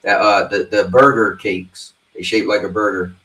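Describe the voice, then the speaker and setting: A man's voice: lowish voice, flat delivery, normal speed, a bit bright, recorded from a distance. A man of fifty hosting a livestream, chatting loosely and rambling as he talks straight to his viewers. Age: 50s. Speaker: livestream host. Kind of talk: casual chat with a livestream audience. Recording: roomy sound, away from the mic.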